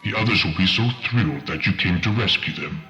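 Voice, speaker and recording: An extremely deep, hard-edged supervillain from an audio drama, taunting with sinister courtesy. The voice echoes through the space over faint music. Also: male voice, lively intonation, brisk, bright, forceful tone, dull audio. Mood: sinister, gloating. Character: menacing male villain, taunting, smooth and sinister.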